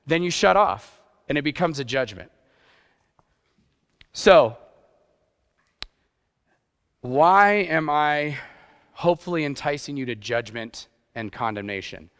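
A youth pastor preaching a sermon in a conversational way, with rhetorical questions and playful asides. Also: male voice, mid-range voice, fairly bright tone. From grew up partly in Japan. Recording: long hall reverb.

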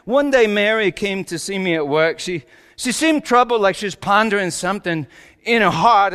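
A man playing Joseph, a wry, bewildered carpenter, in a halting, colloquial and theatrically emotional dramatic monologue. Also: sits high, fairly bright tone, smooth, voice pushed up. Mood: exasperated, amused. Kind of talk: dramatic comic monologue in a sermon. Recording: echoey hall with long reverb.

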